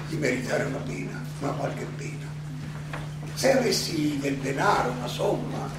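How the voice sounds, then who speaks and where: An actor playing an aging Athenian philosopher who defends himself in a theatrical monologue, ironic and full of rhetorical questions and halting repetitions. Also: male voice, a little high. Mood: resigned. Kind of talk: theatrical stage monologue, oratory. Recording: roomy, distant, noisy live stage recording.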